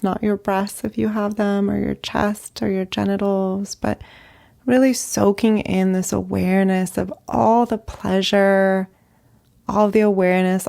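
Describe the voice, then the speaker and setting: A woman leading a guided meditation as a therapist, speaking gently in short phrases with long pauses. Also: medium pitch, creaky. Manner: slow, gentle, pausing between short phrases.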